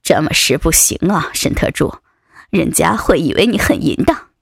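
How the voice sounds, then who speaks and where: A woman voicing a domineering, taunting male vice president in an adult audiobook, with a husky, creaky voice and a mocking, commanding manner. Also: deep voice, sing-song, normal speed, no echo, studio quality, voice pushed down, heavily acted. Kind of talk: dramatized adult audiobook dialogue.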